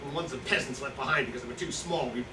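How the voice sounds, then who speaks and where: A man's voice: mid-range voice, rapid-fire, natural voice. A man playing an irritable, dying old hospital patient in a staged reading, bitter and given to snappish outbursts. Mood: bitter, reminiscing. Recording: roomy, distant mic, noisy live recording.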